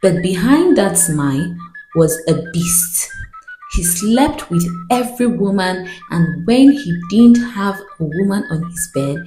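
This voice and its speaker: Lively-pitched woman storyteller, slow and roomy. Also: faint music behind. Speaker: folktale narrator. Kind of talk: dramatic scripted storytelling narration.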